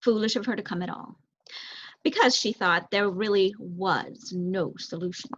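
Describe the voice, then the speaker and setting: A woman's voice: mid-range voice, normal speed, no room sound. A young adult woman reading a children's story aloud as scripted narration. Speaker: storybook reader, student. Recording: dull, lo-fi close recording.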